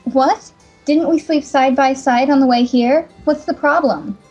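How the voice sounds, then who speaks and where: A woman's voice: high-pitched, measured pace, a little mellow. A woman voicing a frank, wary young princess champion in a fan-dubbed fantasy game, blunt and questioning. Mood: blunt, breezy. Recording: dull microphone, faint music behind.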